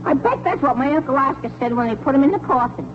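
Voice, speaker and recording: A man's voice: very high voice, expressive, quick pace. An actor playing a cheeky, guileless teenage boy in an exclamatory, complaining 1940s radio comedy style. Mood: droll, guileless. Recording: noisy, tinny 1940s radio broadcast.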